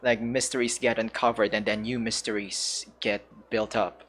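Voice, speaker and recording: Filipino-accented man, husky voice, hesitant, casual game commentary. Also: fairly low pitch, little pitch movement, normal speed, a bit bright. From Philippines. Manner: hesitant, searching for words, laughs often. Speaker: streamer and English teacher.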